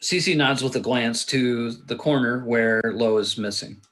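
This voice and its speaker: A man's voice: mid-range voice, a little slow, fairly bright tone. Man casually reading screenplay action. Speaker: table read narrator and actor.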